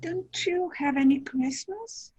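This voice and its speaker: A woman's voice: very high-pitched, takes it slowly, a little mellow, low-quality recording. A woman playing an eager, tender-hearted little girl in a family radio drama. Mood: concerned. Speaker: actor in a radio play.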